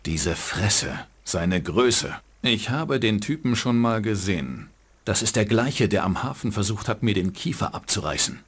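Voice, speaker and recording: An actor playing a cynical, hard-boiled private detective in a dubbed game, with a dry, sardonic delivery. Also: male voice, fairly low pitch, lively intonation, a little slow, husky. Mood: dry, wry. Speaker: narrating game protagonist.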